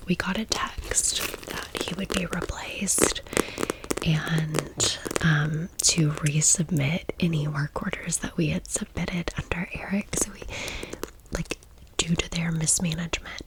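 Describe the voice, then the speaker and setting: An American woman in her thirties whispering an ASMR storytime in a breathy, casual, confiding, rambling way. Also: on the low side, low-quality recording. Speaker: ASMR video host.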